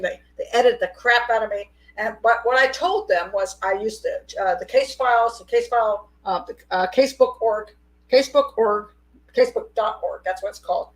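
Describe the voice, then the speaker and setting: A middle-aged woman hosts a casual live call-in with a fairly high-pitched voice. She talks in a loose, chatty way and often breaks into laughter. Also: poor audio. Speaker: host.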